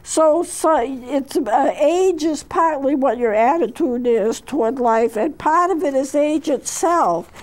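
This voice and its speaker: A woman in her eighties with a high voice talks about growing old. Her pace is unhurried, with little fillers and restarts along the way. She sounds like a seasoned counselor sharing what she has learned. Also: lively intonation. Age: 80s. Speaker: counselor and show host. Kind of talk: reflective talk with read-aloud poetry.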